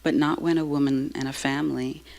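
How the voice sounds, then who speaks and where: A woman giving a slow, halting, heartfelt personal talk to a live audience. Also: low-pitched, fairly even intonation, creaky, noisy background. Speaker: speaker sharing a personal story.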